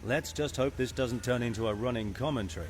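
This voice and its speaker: An actor playing a wry, confident human space pilot in a video game, with a casual, sardonic manner. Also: male voice, medium pitch, brisk, natural voice. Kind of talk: scripted video game character acting.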